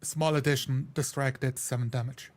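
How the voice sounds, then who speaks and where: A man's voice: mid-range voice, steady tone, normal speed. A man playing a tabletop roleplay game, describing his character's actions.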